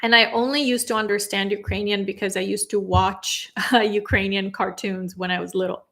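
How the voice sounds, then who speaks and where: A woman in her thirties from Moldova, a Romanian speaker, teaching English pronunciation on a live stream, patiently repeating words slowly and chatting casually. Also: medium pitch. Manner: relaxed and chatty with fillers.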